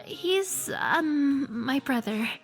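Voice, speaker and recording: Shy, high-voiced young healer. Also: female voice, expressive, extremely slow, full character voice. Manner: hesitant, self-deprecating. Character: shy, unsure young female resistance healer. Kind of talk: video game quest dialogue. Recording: faint background music.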